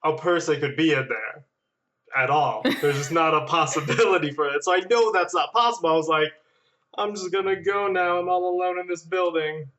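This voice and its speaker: A man with a slightly higher-pitched voice tells stories in a casual podcast chat. He is animated and jokes at his own expense. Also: a little fast. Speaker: podcast guest and editor.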